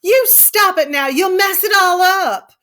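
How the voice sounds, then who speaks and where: A woman's voice: mid-range voice, expressive, slow pace, a bit bright. Woman doing a young ranch wife, coy, vain, lazy drawl. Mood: annoyed, alarmed.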